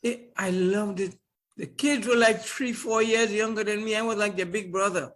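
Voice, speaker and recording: Older man, podcast guest, high voice, animated storyteller who chuckles and repeats himself. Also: moderate pace. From Trinidad and Tobago. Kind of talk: casual storytelling interview.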